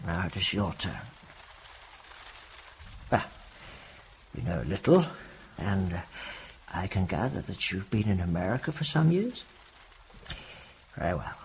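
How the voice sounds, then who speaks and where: An actor playing a reflective, gently probing prison chaplain with a husky, gravelly voice in a radio drama. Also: male voice, low-pitched, sing-song, unhurried, long pauses, highs cut off, slight background noise, heavily acted.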